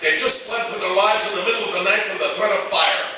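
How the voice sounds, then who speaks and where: A man's voice: high voice, fast talker, sharp tone. A man playing a stern, suspicious fire chief in a radio drama, with an authoritative, dryly sardonic way of questioning. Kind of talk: radio theatre acting. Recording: very echoey, distant mic, faint noise.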